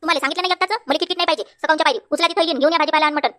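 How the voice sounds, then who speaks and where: Casting: woman as nagging cartoon village wife, rural Vidarbha Marathi, very high bright voice, fires off lines. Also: very fast. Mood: irritated, scolding. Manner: scolding, nagging. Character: sharp-tongued, bossy village wife in a comedy cartoon. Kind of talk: cartoon comedy voice acting.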